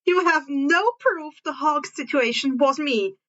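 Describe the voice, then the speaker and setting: A woman's voice: sits high, unhurried, a bit bright, dull audio. A woman voicing an earnest, snarky and defensive teenage boy hero student.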